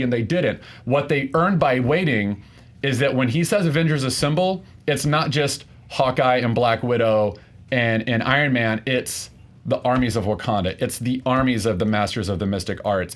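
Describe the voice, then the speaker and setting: A man arguing his case as a contestant in a film debate, unscripted and passionate, halting at times and building emphasis through repetition. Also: medium pitch.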